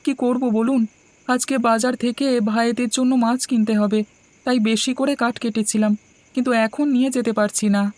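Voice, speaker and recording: A woman's voice: fairly high pitch, fairly even intonation, moderate pace, a little mellow, no room sound, lightly acted. A woman voicing meek young Kanu. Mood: worried. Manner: polite, submissive. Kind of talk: cartoon dubbing.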